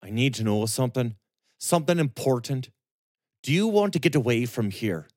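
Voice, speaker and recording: A man voicing a grim young man bent on revenge, speaking low, calm and controlled. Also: moderate pace, no echo, clean studio sound, close to an everyday voice.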